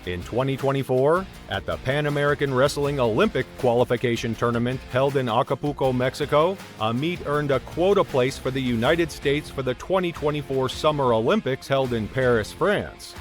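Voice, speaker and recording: A man of middle pitch narrates a sports documentary in a steady, even read-aloud manner. His delivery stays scripted and measured throughout, with soft music playing faintly underneath. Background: faint background music.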